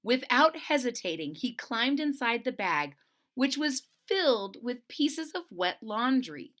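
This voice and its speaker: A woman storyteller reads aloud for children in a bright, fairly high voice. Her delivery is expressive and lively, with simple recaps along the way. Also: a little slow.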